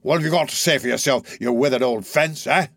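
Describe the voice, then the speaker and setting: A man voicing a gruff, brutal adult male burglar in a storybook reading, growling and surly. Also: mid-range voice, brisk, a bit bright, dry recording, studio quality.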